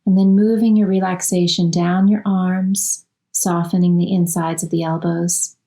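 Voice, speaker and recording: A woman leading a guided relaxation as a yoga nidra instructor, speaking soothingly in short, unhurried phrases with long pauses. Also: on the low side, little pitch movement, warm tone.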